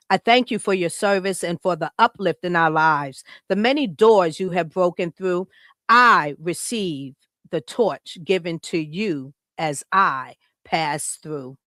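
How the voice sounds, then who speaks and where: A woman from Chicago co-hosts a live podcast in a smooth, clear voice, recorded close and dry with no room sound. She talks in a casual, heartfelt way and moves easily into reading her own written words aloud. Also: lowish voice, a bit bright, no echo. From Chicago, United States. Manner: heartfelt, reads a written tribute aloud, laughs often. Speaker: podcast co-host.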